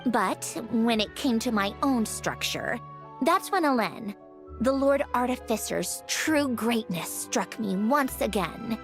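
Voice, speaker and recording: Haughty, high-voiced mechanical puppet girl. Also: lively intonation, slow pace. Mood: proud, reflective. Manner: haughty, precise, explanatory. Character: proud, prickly female mechanical puppet, brilliant researcher. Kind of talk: scripted game cutscene acting. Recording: music behind the voice. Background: game soundtrack music.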